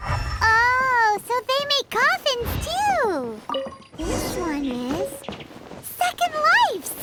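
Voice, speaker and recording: A woman voicing a tiny, cheerful, childlike fairy companion in an animated video game, excitable and eager. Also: very high-pitched, very slow, very noisy, heavily acted. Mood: excited. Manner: excitable, exclaiming. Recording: loud music behind the voice.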